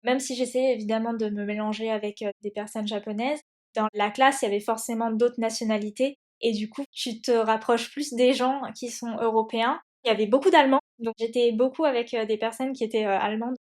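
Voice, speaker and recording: A woman's voice: fairly high pitch, fairly even intonation, fairly quick, a little mellow. A young woman hosting a podcast, chatting casually with fillers and frequent laughs. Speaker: podcast host. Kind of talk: casual conversational interview.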